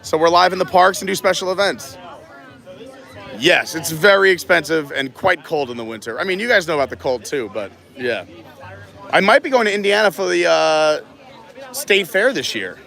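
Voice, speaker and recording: A man from New York hosting an excited live walkaround stream outdoors at a resort, gushing over what he sees. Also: fairly high pitch, moderate pace, vocal fry, a faint crowd. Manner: enthusiastic, gushing.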